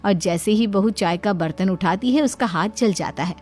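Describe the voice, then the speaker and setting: A woman's voice: medium pitch, normal speed. A woman narrating a scripted dramatic story. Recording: music under the voice.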